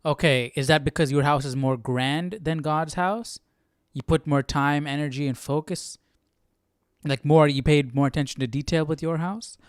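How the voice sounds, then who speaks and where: A man's voice: on the high side, fairly even intonation, normal speed, clear, resonant tone, no echo. A man hosting a Bible study with casual live commentary, musing and questioning aloud.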